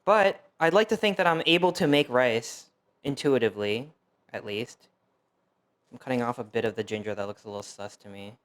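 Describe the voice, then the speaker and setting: A young man streams himself cooking and chats as he goes. His voice sits at an ordinary middle pitch, and his talk is loose and rambling, full of fillers and wry jabs at himself. He grew up in the United States, and his first language was Fuzhounese. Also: a little fast, fairly bright tone. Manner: rambling, filler-heavy, self-deprecating. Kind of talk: casual cooking stream chat.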